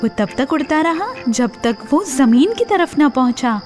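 A woman reading a children's story as an animated scripted narration. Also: high voice, faint music behind.

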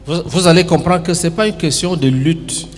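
A man's voice: fairly high pitch, moderate pace. A middle-aged man preaching on stage into a handheld microphone, in short phrases left for an interpreter. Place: large convention hall, on stage. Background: music.

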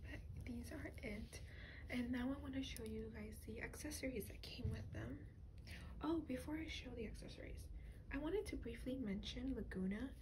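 A woman speaking softly in a breathy, often whispered voice, gently and hesitantly showing items in a rambling ASMR style. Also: fairly high pitch, normal speed. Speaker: ASMR doll reviewer. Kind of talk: soft-spoken ASMR, rambling show and tell. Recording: clearly noisy lo-fi home recording.

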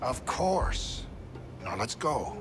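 An actor playing a calm, calculating crime cartel envoy in a video game, measured and assured. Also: medium pitch, music in the background.

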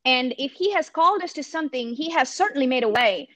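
A woman's voice: sits high, animated, quick pace, fairly bright tone, no echo. A woman from India with an Indian accent, speaking earnestly and thoughtfully on a video call, often restarting her sentences. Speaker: campus ministry missionary. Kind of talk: reflective panel talk. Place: home room. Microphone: laptop webcam on a video call.

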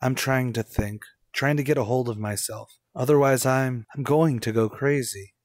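A man's voice: medium pitch, steady tone, normal speed, no echo, studio quality, close to an everyday voice. An actor playing a haunted, unravelling young man in an old-time radio suspense drama, with a brooding, dramatic delivery. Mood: desperate, brooding.

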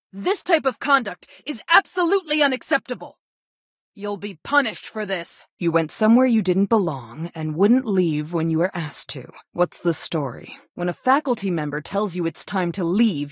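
Stern, low-voiced school counselor. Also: female voice, no echo, clean studio sound. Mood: outraged, then firm, concerned. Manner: measured, formal, reproving. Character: stern adult woman school guidance counselor, authoritative, disapproving. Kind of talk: scripted video game voice acting.

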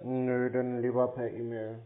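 A man with a medium-pitched voice talks as a customer over a narrow telephone connection. He is sceptical and persistent, pushing back in a matter-of-fact way. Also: completely flat delivery, measured pace. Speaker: customer on a phone call. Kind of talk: sceptical phone conversation. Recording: narrow, tinny phone line.

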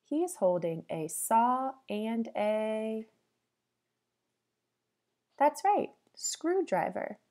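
Female teacher for children's read-aloud: mellow tone, lively sing-song intonation, addresses kids directly with questions. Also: fairly high pitch, drawn-out words, pauses often. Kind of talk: interactive storybook read-aloud for young children.

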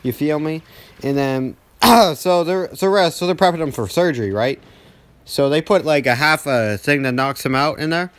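A man's voice: on the high side, normal speed, no room sound. A young man telling a story casually over gameplay, rambling with heavy slang and acting out dialogue as he goes. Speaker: gaming video storyteller.

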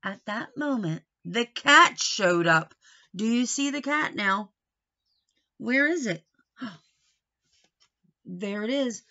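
Slow, very animated woman reading a picture book. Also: a little high, dull audio. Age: middle-aged. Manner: animated, slow, questioning asides to young listeners. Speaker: storybook reader. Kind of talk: expressive children's picture book read-aloud. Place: small wood-panelled room indoors.

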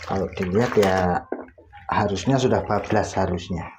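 A man's voice: low voice, moderate pace, background noise. A man, casually explaining step by step while working at home. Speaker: laundry tutorial host. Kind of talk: casual how-to demonstration. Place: home laundry area, indoors.

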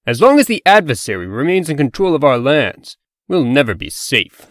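A man's voice: on the high side, very animated, normal speed, a bit bright, no room sound, studio quality. A man voicing a scheming, persuasive revolutionary farm pig in a comic dub, earnest and conspiratorial. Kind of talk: comic dub character acting.

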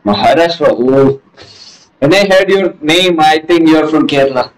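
A man's voice: on the high side, normal speed, low-quality recording. A young man with a Malayali English accent chatting casually on a video call, playful and teasing, with lots of hesitant fillers. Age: young adult. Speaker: video chat prankster. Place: small room, indoors.

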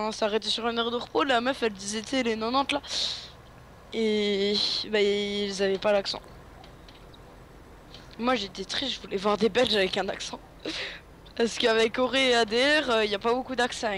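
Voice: mid-range voice, steady tone, normal speed, fairly bright tone. Playful, rambling co-player with slight hiss. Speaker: guest co-player. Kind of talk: casual co-op gameplay chat.

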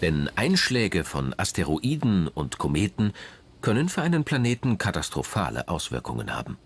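A man narrating a scripted documentary in a measured, dramatic storytelling manner. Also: low-pitched, breathy edge.

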